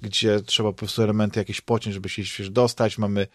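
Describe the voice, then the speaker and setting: A man's voice: lowish voice, flat delivery, fast, fairly bright tone, no room sound, studio quality. A man with a Polish accent hosting a podcast in casual chat, rambling and hesitant, often repeating words as he searches for phrasing. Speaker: podcast host. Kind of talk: casual chat about games and comics.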